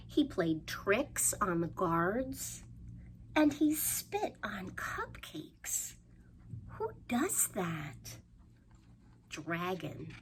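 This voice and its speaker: A woman presenting a children's storytime, reading aloud in a sing-song, questioning way with playful pauses and hushed asides. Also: fairly low pitch. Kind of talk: animated read-aloud for young children. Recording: faint background noise.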